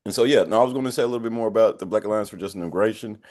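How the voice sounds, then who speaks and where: A man's voice: fairly low pitch, racing, darkish tone. A man moderating a webinar panel, introducing guests in an upbeat way and often repeating his thanks.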